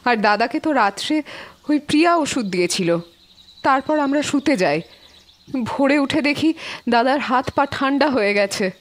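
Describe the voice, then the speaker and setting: A woman's voice: sits high, animated, normal speed. A woman playing an indignant, quarrelsome sister in an audio drama, complaining and accusing insistently. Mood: defensive.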